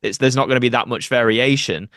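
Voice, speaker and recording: Male actor as interview guest: conversational, explanatory, mid-pitched, dry recording. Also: fairly even intonation, fast, a bit bright, no room sound. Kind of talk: casual interview chat.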